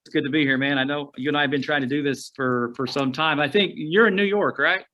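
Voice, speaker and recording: A middle-aged man from Oklahoma, a guest outdoor writer, telling stories in a rambling, folksy way with frequent pauses and restarts over a remote call. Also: fairly high pitch, pushed and bright. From Oklahoma, USA. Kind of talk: casual storytelling interview.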